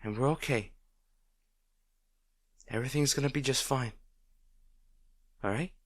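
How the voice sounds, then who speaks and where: A man playing a gentle, protective boyfriend in an intimate roleplay audio, speaking in a tender, reassuring way. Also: mid-range voice, unhurried, no echo, clean studio sound, lightly acted. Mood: tender, soothing. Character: gentle, protective boyfriend comforting a distraught partner.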